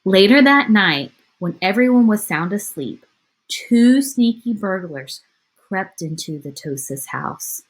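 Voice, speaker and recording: A woman's voice: medium pitch, lively intonation, takes it slowly, low-quality recording. A woman teacher reading a picture book aloud to children, expressively, with chatty asides.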